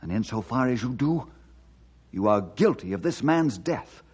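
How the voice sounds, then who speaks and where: An actor playing an earnest, forceful ranger in old-time radio drama style, insistent and declamatory. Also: male voice, mid-range voice, lively intonation, normal speed, breathy edge. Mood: impassioned, pleading. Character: earnest forceful male ranger, urging and commanding.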